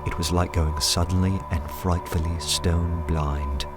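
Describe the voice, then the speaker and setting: Low-voiced, earnest detective narrator. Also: male voice, fairly even intonation, loud music behind the voice. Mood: brooding, dramatic. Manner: measured, literary, dramatic storytelling. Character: earnest male detective recounting his undercover adventure. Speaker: narrator and lead character. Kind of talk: radio play narration and dialogue.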